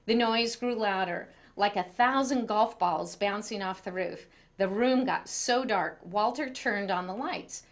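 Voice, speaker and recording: A woman storyteller reading a children's picture book aloud in an expressive way. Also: mid-range voice, measured pace.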